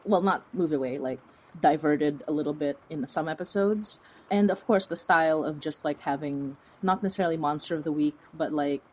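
A woman's voice: deep voice, a little mellow. A woman from the Philippines chatting informally as an interview guest, rambling and often giggling as she talks. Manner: giggly, laughs through speech, rambling and informal. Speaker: guest, horror fiction podcast creator. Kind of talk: casual interview chat.

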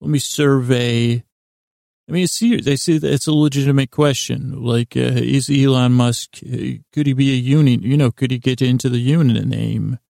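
Meandering man with a very creaky, gravelly voice. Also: mid-range voice, steady tone, warm tone, no echo, clean studio sound. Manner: mumbling, stumbling, halting and meandering; self-deprecating, apologetic. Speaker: podcast host. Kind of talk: rambling bedtime monologue to help listeners sleep.

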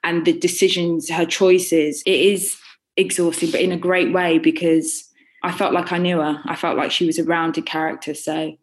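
A woman with a slightly low voice answers audience questions about her audiobook narration. She is chatty and relaxed, laughs often, and breaks off mid-sentence to start again. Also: normal speed. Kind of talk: casual Q&A chat.

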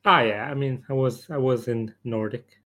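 A man's voice: mid-range voice, steady tone, normal speed, fairly bright tone. A man with a mid-range voice talks casually on a panel. He feels his way through sentences in a joking, rambling fashion. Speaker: podcast panelist. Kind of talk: casual chat.

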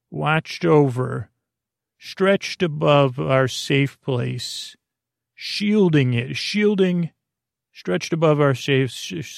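A man hosting a bedtime podcast in a creaky voice, rambling in a soothing, halting, meandering way with casual friendly banter. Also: medium pitch, no room sound, studio quality. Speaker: podcast host.

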